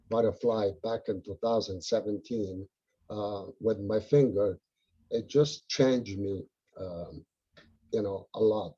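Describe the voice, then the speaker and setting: Man, Italian accent, husky, low, earnest, rambling, lo-fi call audio. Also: dark tone. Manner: earnest, rambling, with many uh and um fillers. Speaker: local butterfly group organizer. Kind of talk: casual Q and A on a video call.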